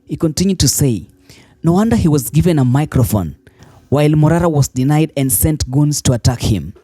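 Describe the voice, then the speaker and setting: A man's voice: a little high, lively intonation, brisk, dark tone, dry recording. A man with a Kenyan accent reading scripted online news commentary as a voice-over. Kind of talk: scripted narration. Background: faint music.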